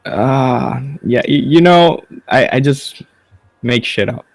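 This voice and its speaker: Brief: young man, gravelly voice with plenty of fry, halting and repetitive, dry close sound. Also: medium pitch, lively intonation, no echo. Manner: halting, repeats words while searching, swears casually. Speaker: guest. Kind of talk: casual podcast chat.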